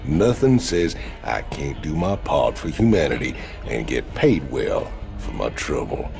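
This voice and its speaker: An actor playing a big, crude outlaw mercenary in a game cinematic, gravelly, brash and cynical. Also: male voice, sing-song, a little slow, music in the background, exaggerated voice. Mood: cocky, cynical. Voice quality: gravelly, very deep. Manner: brash, drawling, sardonic. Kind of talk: video game cinematic acting.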